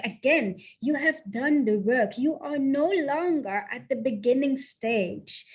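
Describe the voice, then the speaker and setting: A woman from the south of the Netherlands with a Dutch accent, speaking slowly and softly as a spiritual coach in a channeled guided talk, repeating her affirmations. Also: high voice, muffled recording. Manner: slow, soft, affirming, repeats phrases.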